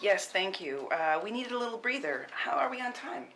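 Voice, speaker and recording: A woman's voice: medium pitch, sing-song, fairly quick, a bit bright. A woman acting a blunt, sweary but loyal and self-deprecating friend in a conversational script read, recorded in a noisy room. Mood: uneasy, casual.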